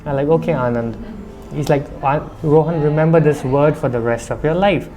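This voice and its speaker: Brief: middle-aged male restaurateur, warm mellow tone, slightly raised pitch, light accent, explanatory. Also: moderate pace. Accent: slight Indian-English with foreign lilt. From India. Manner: explanatory, conversational, reflective. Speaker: restaurant owner. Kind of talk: interview answers.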